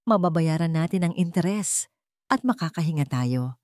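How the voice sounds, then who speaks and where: A woman's voice: fairly low pitch, expressive, moderate pace, a little mellow, no room sound, clean studio sound, lightly acted. A woman voicing a scheming, domineering older mother in an audio story, commanding and cutting. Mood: forceful, insistent. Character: scheming, domineering older matriarch, sharp and contemptuous.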